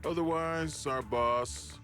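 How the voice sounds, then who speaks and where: A man's voice: fairly high pitch, slow, bright, forceful tone, faint music behind. An actor playing a cocky, callous male villain henchman in a video game, taunting and sneering.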